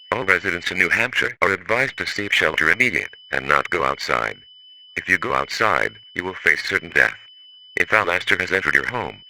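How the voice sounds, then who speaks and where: A man's voice: low voice, vocal fry, bright, forceful tone, slight background noise, poor audio. A man reading a scripted emergency broadcast announcement in a stiff, formal manner.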